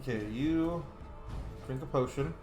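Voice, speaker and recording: A man giving casual let's-play commentary, excitable, swearing freely and yelling during fights. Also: medium pitch, a little slow. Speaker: gamer playing a roleplay series. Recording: echoey room with game music behind. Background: game music and combat sounds.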